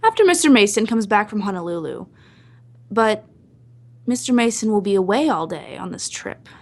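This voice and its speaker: A woman's voice: medium pitch, sing-song, normal speed, darkish tone. A woman playing a menacing older Hawaiian mother in an old-time radio melodrama, stern and terse in broken English. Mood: coolly commanding.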